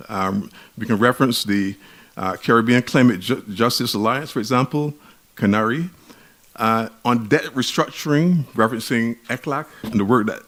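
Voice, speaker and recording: A man with a Barbadian accent giving an academic conference lecture in a measured, emphatic way, often restarting his words. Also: medium pitch. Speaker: conference speaker.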